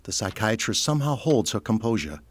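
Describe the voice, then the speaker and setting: Casting: male narrator for audio drama, deep voice, scripted scene-setting read. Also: very animated, fairly quick, breathy edge.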